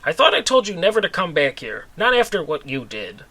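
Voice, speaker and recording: An indignant townswoman from a comic story, put on by a man. The voice is raised in pitch and bright, with a scolding, wary manner. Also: big pitch swings, a little fast, exaggerated voice, rough recording. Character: indignant small-town woman, wary of old acquaintance.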